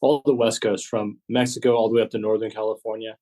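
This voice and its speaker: A man from Texas, a former agent turned author, answering interview questions in a steady, measured way. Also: on the low side.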